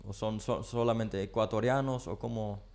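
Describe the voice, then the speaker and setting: Low gravelly male radio host. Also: fairly even intonation, normal speed, darkish tone. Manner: asks short questions, frequent backchannel. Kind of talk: radio interview. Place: radio studio.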